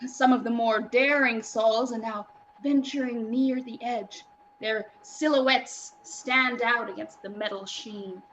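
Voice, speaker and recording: A woman playing an excitable radio reporter, an awed and alarmed eyewitness, in a live reading of a 1930s radio drama, with dramatic, suspenseful pauses. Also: fairly high pitch, dull audio, slight background noise. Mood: excited, curious.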